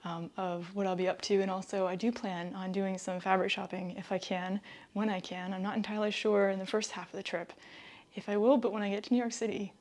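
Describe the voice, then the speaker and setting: An American woman in her thirties from the East Coast, chatting casually and excitedly to viewers as a vlogger. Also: mid-range voice, fairly quick. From Connecticut, US East Coast. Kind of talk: casual vlog talk to viewers.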